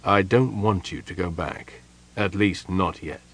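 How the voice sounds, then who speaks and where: A man doing a quiet, weary young mage. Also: low voice, steady tone, slightly rough, full character voice. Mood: firm. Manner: quiet, measured, restrained. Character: tired young male mage, patient and thoughtful.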